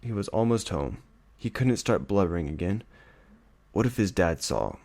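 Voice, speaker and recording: A man's voice: low voice, lively intonation, moderate pace, a little mellow, no echo. A man reading a story aloud as dramatic scripted narration. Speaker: audiobook reader.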